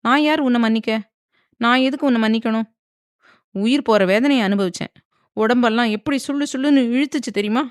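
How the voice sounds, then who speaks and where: A woman's voice: on the high side, a little fast, long pauses, no echo, clean studio sound, voice pushed up, natural voice. A woman doing a fiery defiant young wife. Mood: furious. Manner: sharp, scolding, indignant.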